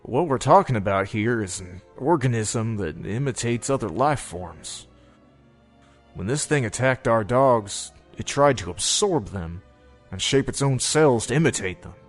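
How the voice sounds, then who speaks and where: A man playing a grave, measured senior biologist in a horror read-along audio drama. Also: medium pitch, expressive. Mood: grave, explanatory. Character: grave senior male biologist explaining a horrifying threat. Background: music.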